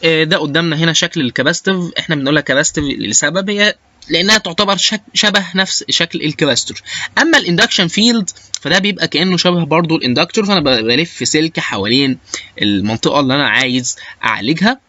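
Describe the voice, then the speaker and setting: A man's voice: on the high side, pushed and bright, no room sound, dull audio. A man with an Egyptian Arabic accent giving an informal lecture, mixing in English technical terms and often hesitating and restarting. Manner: halting, with frequent hesitation sounds and repeated starts.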